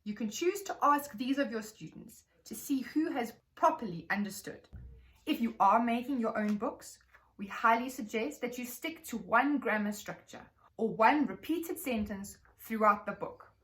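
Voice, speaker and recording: Female teacher trainer, South African accent, slightly high register, articulate, measured presentation for educators. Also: expressive, a bit bright, poor audio.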